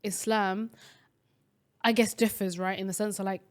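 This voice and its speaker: A woman's voice: mid-range voice, flat delivery, moderate pace. Chatty young woman with many fillers. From Somalia. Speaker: podcast co-host. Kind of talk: casual podcast chat.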